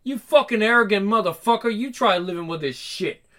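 A high, sharp-edged voice of an enraged comic-book man, acted by a male reader. He swears heavily and slangily, squaring up for a fight with every phrase. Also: animated, brisk. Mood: furious. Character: furious foul-mouthed man confronting a powerful enemy. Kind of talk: comic book read-aloud with character voices.

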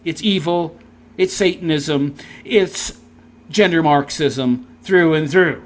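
A man's voice: a little high, slow pace. A man reading a text aloud and tearing into it with sarcastic, scornful commentary. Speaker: commentary video host.

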